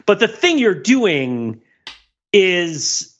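A middle-aged man chatting casually as a podcast co-host in an animated, conversational way, on slightly lo-fi audio. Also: high-pitched, very slow. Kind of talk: casual chat.

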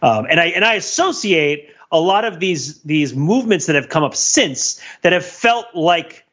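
A man's voice: on the high side, lively intonation, moderate pace, fairly bright tone, dull audio. A man co-hosting a podcast in casual discussion, talking in a wordy, intellectual way and often checking in with "right?". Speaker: podcast co-host.

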